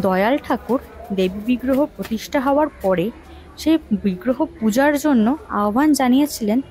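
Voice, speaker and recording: High-voiced woman narrating history. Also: a little mellow, rough recording. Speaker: vlog host and narrator. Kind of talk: scripted storytelling narration of local temple history.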